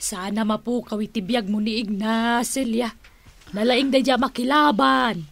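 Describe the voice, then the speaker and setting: An actress playing a reassuring older auntie and confidante in a radio drama. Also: female voice, fairly high pitch, fairly even intonation, a little slow, vocal fry.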